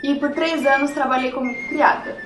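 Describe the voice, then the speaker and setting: An actress with a Brazilian accent playing a sharp-tongued, gossiping housemaid of twenty-four who mocks her mistress. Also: high-pitched, steady tone, moderate pace, over music, natural voice. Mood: gossipy. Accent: Brazilian Portuguese. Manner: gossipy, mocking. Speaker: acting student. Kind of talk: mock-documentary stage acting. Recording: echoey room.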